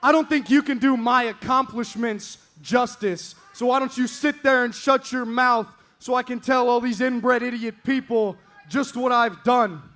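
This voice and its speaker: Brief: cocky teen male wrestler, very high voice, yelling boasts in an arena. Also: fairly even intonation, a little slow, few pauses. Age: teens. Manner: arrogant, boastful, yelling at the crowd. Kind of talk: wrestling promo. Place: indoor arena.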